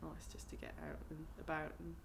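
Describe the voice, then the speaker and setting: A woman's voice: deep voice, completely flat delivery, brisk. A woman in her late twenties from Wales, answering interview questions hesitantly with lots of ums and restarts. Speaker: interviewee, project participant. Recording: clear background noise.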